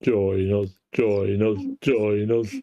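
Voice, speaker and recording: A man voicing an eerie, beckoning hive mind of the infected, chanting in a drawn-out way, muffled with music behind. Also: fairly low pitch, level tone, mellow.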